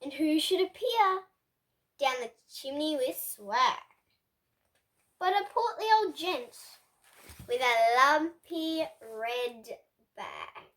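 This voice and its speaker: High, bright child storyteller, a girl. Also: animated. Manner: slow, deliberate, dramatic phrasing. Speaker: host reading children's picture books. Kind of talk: expressive storybook read-aloud.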